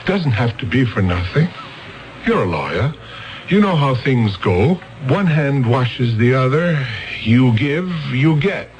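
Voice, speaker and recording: An actor playing a blunt, pushy police lieutenant in a 1970s radio drama. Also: male voice, mid-range voice, animated, slow pace, low-quality recording. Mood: pressing. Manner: blunt and pressing.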